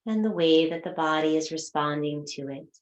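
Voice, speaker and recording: A woman teaching a guided meditation, giving soothing, unhurried instructions with long pauses between phrases. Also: deep voice, roomy sound.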